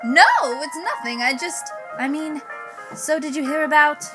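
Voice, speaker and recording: A woman's voice: high voice, normal speed, close to an everyday voice. A woman acting a prim, reproachful and easily flustered housewife in a theatrical 1940s radio drama. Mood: offended, flustered. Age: teens. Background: music.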